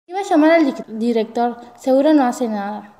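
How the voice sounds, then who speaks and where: A woman's voice: a little high, animated, fairly quick, dark tone, slight background noise, close to an everyday voice. A woman playing a teasing schoolgirl in an amateur radio play. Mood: grumbling.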